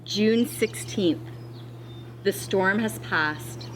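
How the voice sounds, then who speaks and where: A woman schoolteacher reading a children's storybook aloud, expressively and deliberately, with dramatic pauses. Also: mid-range voice, a little hiss or hum. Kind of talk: read-aloud of a children's storybook with teacher asides.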